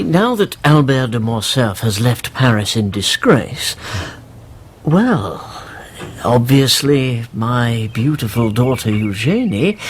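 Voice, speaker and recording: A male actor playing an obsequious, scheming middle-aged baron and banker in a radio drama, with hesitant, stammering, effusive flattery. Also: medium pitch, slight background noise, close to an everyday voice.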